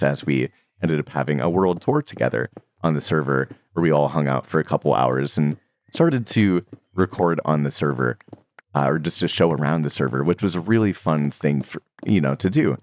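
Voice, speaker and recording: A young man who makes Minecraft videos, talking casually to his viewers in a relaxed, rambling way with many fillers and soft laughs. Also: rumbling low. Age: young adult. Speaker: Minecraft content creator.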